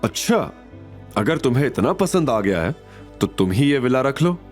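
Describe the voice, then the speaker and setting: Narrator guy as a smitten young man, protective n coaxing, animated mid voice, music behind. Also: mid-range voice, moderate pace, close to an everyday voice. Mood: teasing.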